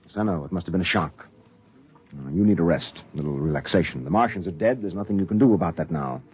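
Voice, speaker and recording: An actor playing a level-headed, paternal space expedition captain, firm and placating, in 1950s radio drama style. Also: male voice, low-pitched, animated, fast talker, a little mellow. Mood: firm, soothing. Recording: dull, lo-fi 1950s radio recording.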